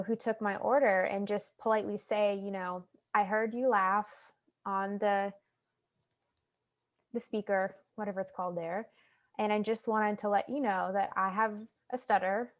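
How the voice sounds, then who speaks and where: A young woman with an American accent, a guest talking about her own experiences in a relaxed, chatty way with many fillers. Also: medium pitch. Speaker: guest, stuttering advocate. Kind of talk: casual interview chat.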